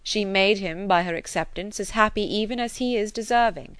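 This is a serious, kindly gentleman from a classic novel audiobook, as a woman narrator reads him. He speaks in a measured, earnest way with gentle teasing underneath. The sound is close and dry. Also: mid-range voice, smooth, dry recording, lightly acted. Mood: explaining. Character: grave, kindly gentleman, older friend. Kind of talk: audiobook character reading.

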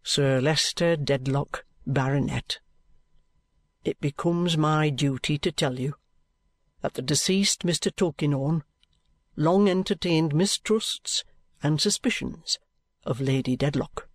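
A knowing, unhurried police detective, voiced by a male reader, steady and persistent as he presses his point. The voice sits a little high for a man and sounds close and dry. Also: no room sound. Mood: patient, insistent. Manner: steady, persistent, respectfully insistent. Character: shrewd, patient middle-aged police detective. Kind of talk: audiobook character reading.

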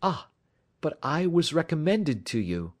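Audiobook part: shrewd gentleman sleuth, played by a man, nonchalant and courteous, pitched up with a lilting swing. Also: very animated, unhurried. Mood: polite, probing. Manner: careless, polite, lilting. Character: cool, shrewd gentleman detective needling for information. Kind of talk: audiobook character dialogue.

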